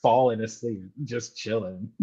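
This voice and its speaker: A man's voice: on the low side, a little slow, mellow, husky. Dull-sounding lo-fi man in casual chat. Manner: informal, sweary, rambling.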